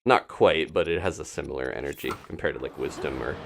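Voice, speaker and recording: A man's voice: extremely low pitch, quick pace, creaky, slight background noise. A man doing live gameplay commentary as a streamer, chatting casually and thinking aloud in a rambling way. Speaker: video game streamer.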